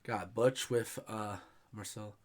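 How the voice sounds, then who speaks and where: A man on a podcast panel, chatting casually about film and thinking aloud in a halting way. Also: on the low side, steady tone. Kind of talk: casual film discussion chat.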